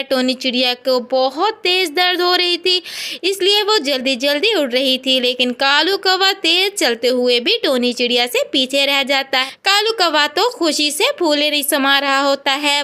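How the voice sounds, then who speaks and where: A woman narrating a scripted children's story in a drawn-out storytelling manner. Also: sits high, sharp tone.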